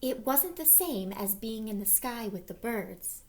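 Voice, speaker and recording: A woman voicing an anxious, wistful egg who tells his own storybook tale in an earnest, expressive way. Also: fairly high pitch, measured pace. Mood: determined, hopeful. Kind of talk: picture-book read-aloud.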